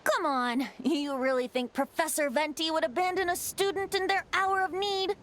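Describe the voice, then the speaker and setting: A woman's voice: extremely high pitch, fairly even intonation, moderate pace, fairly bright tone. A woman voicing a breezy, teasing young male bard in a video game, playful and mock-grand. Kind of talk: video game character acting.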